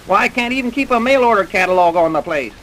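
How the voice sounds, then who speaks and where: Very high, sharp-voiced excitable farmer. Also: male voice, fast, rough recording. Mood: aggrieved. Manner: flustered, complaining, folksy. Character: excitable, indignant, fearful male rustic farmer. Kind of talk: old-time radio drama comic acting. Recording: hissy vintage radio recording.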